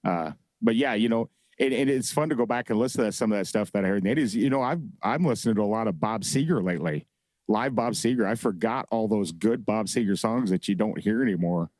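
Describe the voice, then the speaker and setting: A man with a lowish voice chats as a podcast guest, rambling and reminiscing and often searching for a name mid-sentence. He sounds relaxed and conversational. The studio sound is dry and close. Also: fast talker, dry recording. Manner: rambling, reminiscing, groping for names. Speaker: guest, bar owner. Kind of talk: casual podcast chat.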